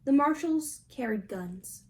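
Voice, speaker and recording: A woman's voice: high-pitched, lively intonation, slow. A young adult woman reading a picture book aloud as a storybook reader. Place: small indoor room at home.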